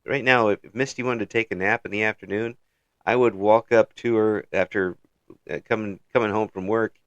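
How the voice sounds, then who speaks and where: A man's voice: lowish voice, fairly even intonation, brisk, fairly bright tone, no room sound, highs cut off. A man hosting a casual interview. He chuckles often and restarts his phrases. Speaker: interview host.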